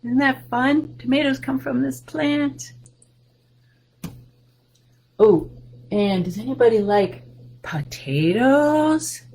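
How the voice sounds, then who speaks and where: A woman in her sixties, a children's librarian, reading a picture book and showing plants to young children over a webcam from a room at home, in a sing-song voice with excited rises. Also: sits high, husky, poor audio. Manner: warm, sing-song and slow, with big excited rises for kids. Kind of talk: storytime reading and show-and-tell for children. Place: home room with bookshelves, indoors. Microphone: webcam video call.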